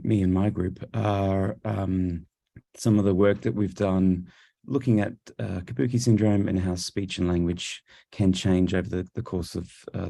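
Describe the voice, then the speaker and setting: A man's voice: low voice, gravelly, mellow, no room sound. A man with an Australian accent, a professor giving a virtual conference talk with many fillers and restarts, speaking through Bluetooth headphones. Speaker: speech neuroscience professor presenting.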